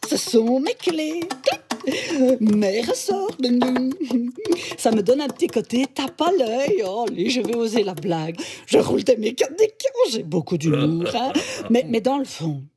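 A woman voicing a chatty, boastful female saxophone in a cartoon, animated and full of exclamations. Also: on the high side, a little slow, noisy background. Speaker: talking instrument telling her history. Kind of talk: cartoon voice acting. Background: background music.

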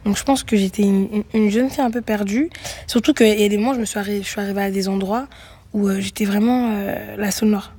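A young woman who grew up in Greece, a musician guest answering interview questions thoughtfully, with many pauses and restarts. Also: medium pitch, steady tone, warm tone, husky. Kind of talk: reflective radio interview answers.

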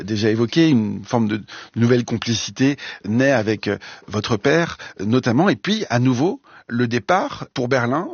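A man hosting an interview, asking questions in a calm, measured way. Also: mid-range voice, husky, no room sound, lo-fi sound. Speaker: interviewer, host.

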